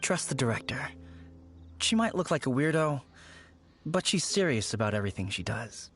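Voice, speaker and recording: A composed voice over clear background music: an earnest, formal video game character. The phrasing is measured and proper. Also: female voice, a bass voice, very animated. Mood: reassuring. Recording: music behind the voice.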